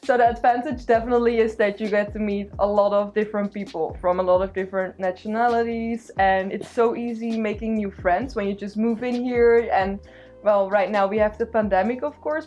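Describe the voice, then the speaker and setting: A woman's voice: medium pitch, a little mellow, highs cut off. A young woman from the Netherlands, a student chatting cheerfully in an interview and laughing between phrases. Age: young adult. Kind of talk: casual chat.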